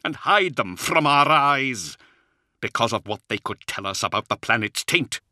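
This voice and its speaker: A man voicing an anguished, bitter knight commander sliding toward madness, impassioned and full of weighted pauses, in a dramatic audiobook. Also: on the low side, little pitch movement, bright, forceful tone, no echo, clean studio sound, pitched above the performer's own voice. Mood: bitter accusation. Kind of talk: dramatic audiobook character acting.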